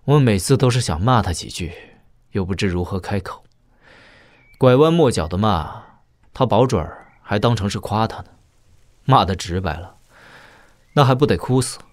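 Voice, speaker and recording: An actor playing a young princely heir in an audio drama, wry and coolly questioning, speaking in a measured, conversational way. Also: male voice, on the low side, animated, no room sound, clean studio sound, natural voice. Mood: fond, rueful.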